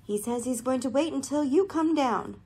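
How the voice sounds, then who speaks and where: A woman's voice: sits high, animated, normal speed, mellow, slightly rough. A woman playing a sweet, concerned young sister in a radio drama. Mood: pleading.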